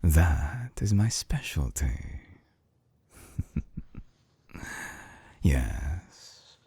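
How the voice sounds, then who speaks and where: Man voicing an obsessive scientist villain, extremely deep, very slow husky murmur, possessive, calculating, close and intimate audio drama. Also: sing-song, mellow, no room sound, full character voice. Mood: coaxing menace. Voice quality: very deep, gravelly, husky, breathy half-whisper. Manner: slow, deliberate, silky menace with lingering pauses. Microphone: close binaural microphone, very dry.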